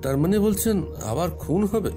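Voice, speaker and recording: A man's voice: high voice, expressive, normal speed, music in the background. An actor playing an earnest, deferential and slightly uneasy male police inspector in an audio crime drama. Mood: conceding. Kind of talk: dramatised audio detective story acting.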